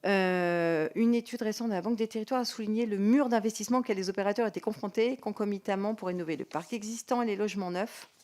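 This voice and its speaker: A woman's voice: mid-range voice, fast talker, hardly any pauses, darkish tone. A woman speaking as a local-government representative at a hearing, semi-formal and earnest, pressing her points emphatically. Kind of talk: semi-formal spoken testimony, answering questions.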